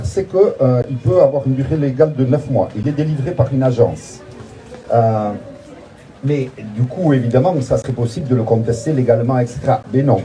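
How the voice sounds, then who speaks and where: A man's voice: medium pitch, dark tone, rough recording. A man leading a tongue-in-cheek guided tour outdoors, talking in a mock-solemn way and hesitating often. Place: outdoors in front of a museum.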